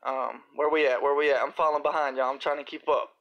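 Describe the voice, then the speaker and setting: A man with a somewhat high, slightly raspy voice talks through viewer questions on a live stream. His delivery is loose and rambling, full of fillers and slang. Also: steady tone, fast, muffled recording. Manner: laid-back, rambling, uses fillers and slang. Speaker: rapper hosting a live Q&A. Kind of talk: casual live-stream chat reading viewer comments.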